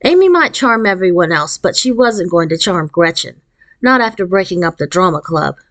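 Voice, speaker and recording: A woman reading a children's novel aloud as a storyteller, in an expressive scripted narration style. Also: fairly low pitch, moderate pace.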